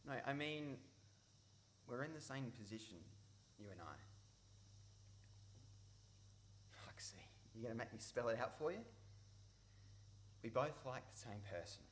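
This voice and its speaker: A man acting an awkward, caring young Australian friend in a radio play, exasperated but loyal, speaking in a halting way. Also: medium pitch, lively intonation, fairly bright tone, close to an everyday voice. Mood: flustered. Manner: halting, self-correcting. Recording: noisy live radio play recording.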